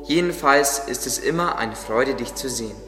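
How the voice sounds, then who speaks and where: A man's voice: mid-range voice, normal speed, natural voice. A man playing a kindly, devout gardener who explains things earnestly in an audio drama. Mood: friendly. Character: devout, kindly male gardener, patient teacher. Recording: echoey, long reverb, under music. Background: music.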